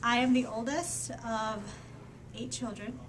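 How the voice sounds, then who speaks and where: A twenty-four-year-old woman from Thailand answering interview questions in a measured, thoughtful way. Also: on the high side, steady tone. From refugee camp in Thailand. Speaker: interviewee, college graduate. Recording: interview with clear background noise.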